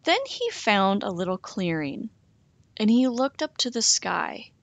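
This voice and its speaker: Slow expressive woman reading aloud. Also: medium pitch, creaky, no echo. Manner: slow, expressive, sing-song rhyming delivery. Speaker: storytime reader. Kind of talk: reading a picture book aloud.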